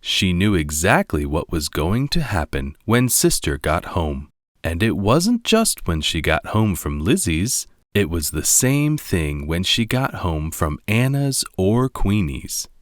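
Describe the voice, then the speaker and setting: A man narrating a children's storybook as a read-along, with a deliberate, expressive delivery. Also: sits low, no echo, studio quality.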